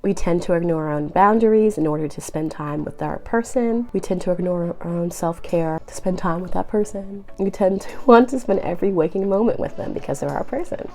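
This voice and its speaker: A young woman with a cold, sounding nasal and raspy, giving earnest, encouraging relationship advice straight to the viewer. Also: fairly low pitch, brisk, hardly any pauses, mellow. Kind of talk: direct-to-camera advice vlog.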